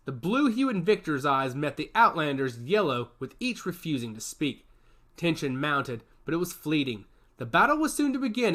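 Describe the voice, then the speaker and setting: Lively, bright-voiced male storyteller. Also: fairly high pitch. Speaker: narrator. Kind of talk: scripted story narration.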